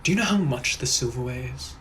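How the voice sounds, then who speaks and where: A man playing a menacing, possessive gentleman from the 1800s, speaking in a hushed, breathy, put-on voice. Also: medium pitch, lively intonation, slight background noise, lightly acted. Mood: threatening. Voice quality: hushed breathy whisper, drawn-out purring delivery. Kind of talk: POV comedy skit.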